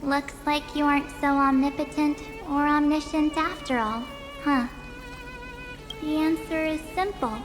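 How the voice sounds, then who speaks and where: A bright-spirited, determined young girl speaks. She is informal and emphatic, as a video game heroine over clearly audible music. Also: sits high, low-quality recording. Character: upbeat, earnest, cheeky young girl, determined and loyal.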